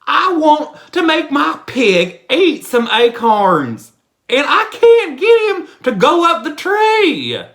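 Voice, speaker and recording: A man voicing a silly, dim-witted farm fellow from a storybook, loud and exclaiming. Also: very high voice, huge pitch swings, takes it slowly, pitched above the performer's own voice, heavily acted. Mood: earnest, frustrated. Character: silly foolish man feeding his pig acorns.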